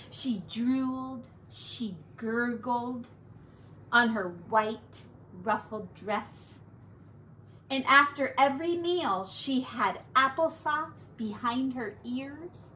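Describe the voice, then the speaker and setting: A woman teacher reading a picture book aloud to children, slow and expressive. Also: high voice, slight background noise, rough recording.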